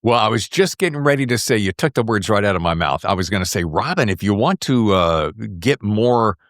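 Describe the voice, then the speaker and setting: A man hosting a podcast, interviewing casually in an effusive, playful, expressive manner. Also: lowish voice, a little fast, no echo, clean studio sound. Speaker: podcast host interviewing an author.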